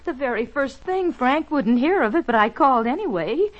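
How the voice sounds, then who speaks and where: A flustered, chattering wife stumbling over her words. Her voice sits fairly high, and the old radio recording is dull. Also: lively intonation, normal speed. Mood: gushing. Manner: flustered, stammering. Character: nervous chattering woman, fluttery wife. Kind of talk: 1950s radio drama acting.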